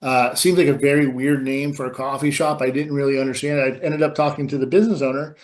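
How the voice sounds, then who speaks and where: Eager man with halting speech. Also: medium pitch, little pitch movement. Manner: enthusiastic, halting, many fillers and restarts. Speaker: webinar co-host, sales director. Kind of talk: casual webinar chat.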